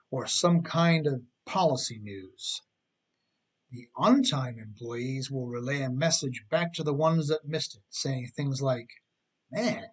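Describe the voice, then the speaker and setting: Middle-aged man with a husky, higher-pitched voice. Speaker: author reading his own book. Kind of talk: scripted audiobook narration, humorous advice.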